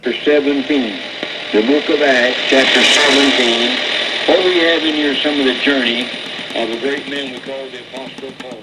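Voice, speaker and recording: A man's voice: mid-range voice, steady tone, normal speed. A man preaching in the style of a radio sermon, heard through a radio. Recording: very noisy radio reception.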